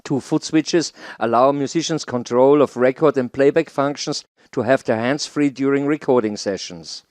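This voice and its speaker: A middle-aged man gives a formal, deliberate scripted presentation into a headset mic in a small studio. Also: medium pitch, a little mellow, no room sound. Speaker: presenter, audio educator. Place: small home studio, indoors.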